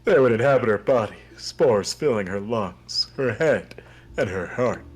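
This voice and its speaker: A man's voice: mid-range voice, animated, measured pace, breathy edge. A man streaming a game, reading its narration aloud theatrically and chatting about it. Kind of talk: dramatic read-aloud of game narration mixed with joking chat.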